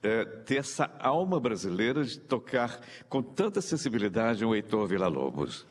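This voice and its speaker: A man's voice: on the low side, sing-song, moderate pace. A man with a Brazilian accent works as a live interviewer, speaking in an effusive, ceremonious way. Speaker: interviewer, presenter. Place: large reverberant hall. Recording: echoey hall with background noise.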